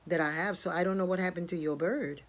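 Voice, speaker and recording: A woman voicing a gentle, protective male spirit in a folk tale, fatherly, tender and reassuring. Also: on the low side, fairly even intonation, fast talker, lightly acted. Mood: calm denial.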